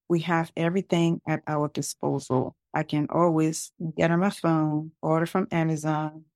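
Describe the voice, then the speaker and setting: Middle aged lady chatting on a podcast, lowish voice, really dry sound. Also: vocal fry, a little mellow, dry recording. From Liberia. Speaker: podcast guest, home cake business owner. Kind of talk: conversational interview storytelling.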